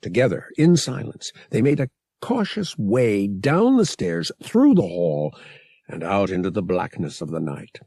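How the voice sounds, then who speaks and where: A male audiobook narrator tells an adventure story in a low, husky voice. He takes his time and swings widely in pitch for drama, with a dry, close sound. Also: very animated, unhurried, warm tone, dry recording. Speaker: audiobook reader.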